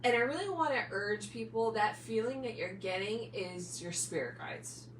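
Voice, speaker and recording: A woman's voice: medium pitch, expressive, normal speed, far from the mic. An American woman from New Jersey chatting casually and earnestly as a paranormal podcast co-host, with emphatic phrasing. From New Jersey, United States. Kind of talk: casual chat. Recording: echoey room, off-mic, faint background hum.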